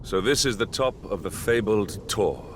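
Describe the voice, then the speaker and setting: A man's voice: on the low side, expressive, normal speed, background noise, lightly acted. A man playing a proud, chivalrous warrior in a video game, formal, commanding and theatrical. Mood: challenging.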